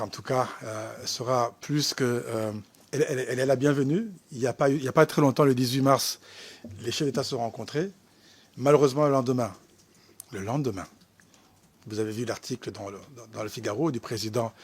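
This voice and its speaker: A man from Rwanda speaking as an ambassador at a hearing, answering off the cuff with emphatic repetition and halting restarts. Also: mid-range voice, a little mellow, husky. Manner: emphatic, halting, repeats himself. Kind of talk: spontaneous formal answers at a hearing.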